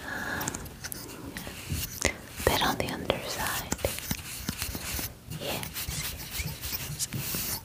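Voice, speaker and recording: A young woman whispers very slowly in a breathy ASMR roleplay. She is gentle and soothing, often repeating little words softly as she plays an attentive groomer close to the mic. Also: rough recording. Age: young adult. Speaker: ASMR performer playing a spa groomer. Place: small indoor room. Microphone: close mic, speaking near it.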